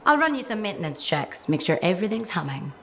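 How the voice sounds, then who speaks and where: A woman's voice: lowish voice, fast. Dry, sardonic woman mechanic. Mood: dry, brisk. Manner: deadpan, teasing, businesslike. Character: dry, brisk, sardonic female suit mechanic. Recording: game audio with ambient background noise.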